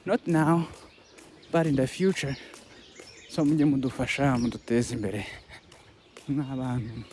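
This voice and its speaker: A Rwandan man, a native Kinyarwanda speaker, talking to viewers as a content creator in a casual vlog, halting and pleading with many restarts. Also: medium pitch, fairly even intonation, a little mellow, a little hiss or hum. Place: outdoors by a road with passing buses.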